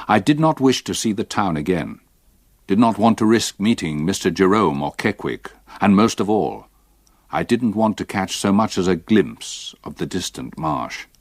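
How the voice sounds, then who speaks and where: Deep-voiced older English gentleman, brooding storyteller. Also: lively intonation, moderate pace, no echo, clean studio sound. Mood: sombre recollection. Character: older solicitor recalling a haunted past, grave and regretful. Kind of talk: dramatised first-person narration of a ghost story.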